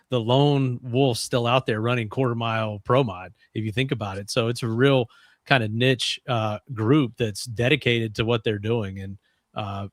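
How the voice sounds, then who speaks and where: A man's voice: mid-range voice, dry recording, studio quality. A man co-hosting a casual talk show, asking loose, rambling questions. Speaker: co-host. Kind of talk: casual podcast chat.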